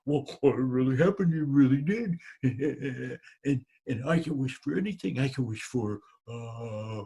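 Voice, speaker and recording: A man voicing an excitable, simple-minded woodcutter in a told folk tale, exclaiming loudly. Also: mid-range voice, creaky, breathy edge, full character voice. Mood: eager. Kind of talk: storytelling character voice.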